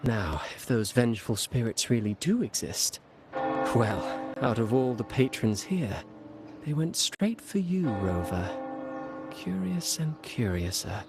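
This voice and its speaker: An actor voicing a theatrical, scheming male playwright in a game cutscene, telling a dark tale with teasing, dramatic flair. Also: mid-range voice, expressive, slow pace, over loud music. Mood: sly. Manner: dramatic, teasing, mysterious storytelling. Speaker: game character.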